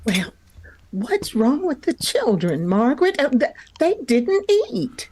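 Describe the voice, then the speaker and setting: A woman's voice: high voice, very animated, slow, a little mellow, slight background noise, full character voice. A woman playing a sentimental, kindly old country mother in a radio drama, with a wistful, exclaiming delivery. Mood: puzzled, fretful. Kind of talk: vintage radio drama acting.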